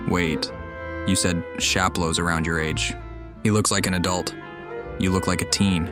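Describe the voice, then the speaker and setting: A man's voice: deep voice, steady tone, measured pace. A man casually reading game dialogue aloud as he streams a playthrough. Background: game music.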